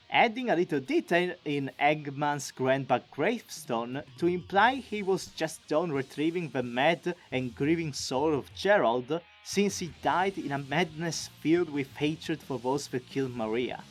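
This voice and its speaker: A man giving an enthusiastic, expressive, chatty scripted recap as a video creator. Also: on the high side, a little slow, few pauses, soft background music. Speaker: video creator and amateur voice actor.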